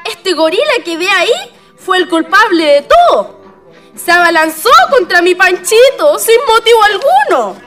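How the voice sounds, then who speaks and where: Woman acting a shrill, wounded young Chilean sweetheart. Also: sing-song, normal speed, fairly bright tone, soft background music, heavily acted. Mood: indignant accusing. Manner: melodramatic, emotional. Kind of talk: radio drama acting.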